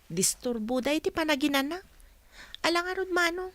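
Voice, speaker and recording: A woman's voice: high-pitched, expressive, moderate pace, a bit bright, no room sound. An actress playing a shy, reserved young woman in a radio drama. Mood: reluctant.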